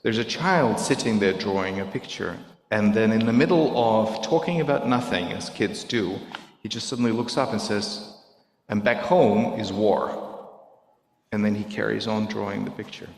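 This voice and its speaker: A middle-aged man whose native language is Russian, speaking earnestly and haltingly to a congregation, searching for his words. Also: lowish voice, gravelly. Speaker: guest speaker at a service. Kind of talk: reflective talk to a congregation. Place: synagogue sanctuary. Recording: echoey hall, long reverb.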